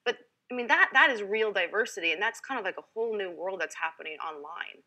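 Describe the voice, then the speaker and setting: A woman's voice: medium pitch, expressive, quick pace, sharp tone. A woman from Canada, a company founder, speaking earnestly on a panel and restarting her phrases often. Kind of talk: panel discussion.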